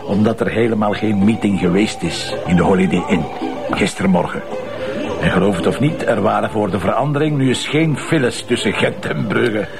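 An actor playing a sardonic, bossy police commissioner with a Flemish accent, in a radio drama with music underneath. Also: male voice, fairly low pitch, normal speed. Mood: wry. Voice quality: gravelly, teasing, bossy. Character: gruff sardonic male police commissioner, middle-aged. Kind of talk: Belgian radio/TV drama acting.

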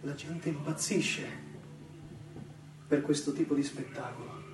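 A man's voice: a little high, fairly even intonation, close to an everyday voice. A man playing an earnest, sentimental theatre devotee with a Sicilian accent, telling his tale in an emphatic, enraptured way. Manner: emphatic, drawn-out, enraptured storytelling. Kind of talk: theatrical storytelling monologue on radio. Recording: roomy distant recording with music behind.

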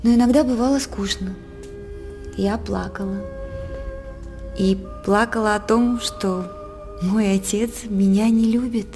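An actress playing a young, innocent princess, composed and gently reproachful, in a theatrical radio drama. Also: female voice, medium pitch, fairly even intonation, a little slow, long pauses, soft background music, close to an everyday voice. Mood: wistful reproach.

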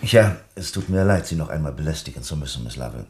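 A man playing a courteous, methodical police inspector in a German radio play, questioning politely, deliberately and insistently. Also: a bass voice, animated, noisy background. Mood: polite, probing.

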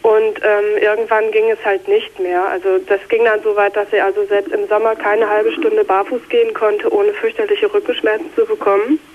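A woman's voice: medium pitch, flat delivery, normal speed, hardly any pauses, a little hiss or hum. Thirtyish woman on a tinny phone line. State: has a cold, slightly scratchy voice. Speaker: phone-in caller. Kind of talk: explanatory storytelling. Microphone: telephone.

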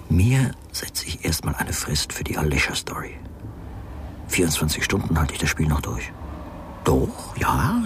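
A cynical, brooding writer in a radio drama. His voice is extremely deep and often drops toward a whisper. He talks slowly and sardonically, as if thinking aloud to himself. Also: gravelly, heavily acted. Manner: slow, sardonic inner monologue.

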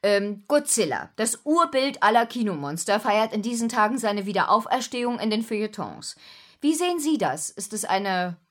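A woman's voice: mid-range voice, animated, moderate pace, close to an everyday voice. An actress playing a woman studio news anchor in a radio play, polite and formal with slight hesitation. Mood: formal, improvising.

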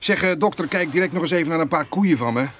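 An actor playing a gruff, impatient dairy farmer with a brusque, commanding manner in a Dutch radio play. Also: male voice, fairly high pitch, fast, fairly bright tone, slight background noise, natural voice. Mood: relieved, businesslike.

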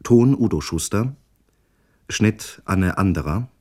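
A man working as a radio announcer, reading scripted credits in a formal, measured manner. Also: on the low side, expressive, dark tone.

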